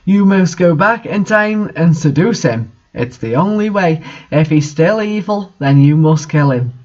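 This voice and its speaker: A solemn female seer and professor read by a man gives grave instructions in a high voice. It sounds like an amateur storybook reading, recorded dull and lo-fi. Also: expressive, a little slow, darkish tone.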